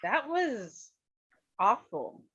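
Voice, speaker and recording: A woman acting a sardonic, high-voiced grumbler. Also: very animated, extremely slow, a bit bright, husky, highs cut off, full character voice. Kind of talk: staged reading of a play.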